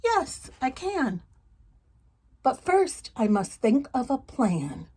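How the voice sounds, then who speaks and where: A woman storyteller voicing a wise old village woman who gives deliberate, thoughtful advice. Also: high voice, big pitch swings, a little mellow, husky, heavily acted. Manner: deliberate, advising.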